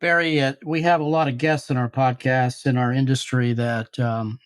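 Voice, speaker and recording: A man's voice: medium pitch, fairly even intonation, normal speed, clear, resonant tone. A man hosting a podcast interview, reading a polished introduction and asking questions. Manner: polished, scripted-sounding introductions. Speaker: podcast host.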